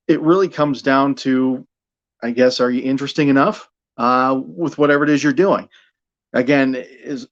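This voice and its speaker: A man's voice: medium pitch, lo-fi sound. A middle-aged American man, a broadcaster from Buffalo, talking informally and earnestly as an interview guest. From Buffalo, United States. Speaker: sports broadcaster and news anchor, interview guest. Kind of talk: casual conversational interview.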